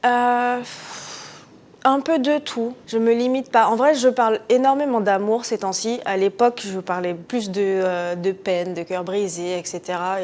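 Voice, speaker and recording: A woman's voice: mid-range voice, a little mellow. A woman in her twenties from Guadeloupe and French Guiana, a singer answering interview questions in a casual, hesitant way with many fillers. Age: 20s.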